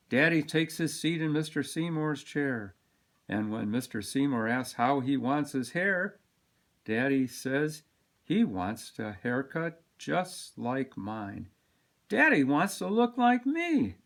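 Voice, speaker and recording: A man reading a children's picture book aloud in an expressive, deliberate storytelling manner. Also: a little high. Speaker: storybook reader.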